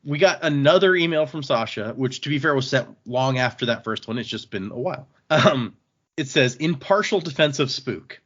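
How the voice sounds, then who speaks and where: A man's voice: medium pitch, animated, moderate pace, fairly bright tone, dull audio. A man hosting a podcast, chatting casually and reading listener emails aloud in an easygoing, agreeable manner.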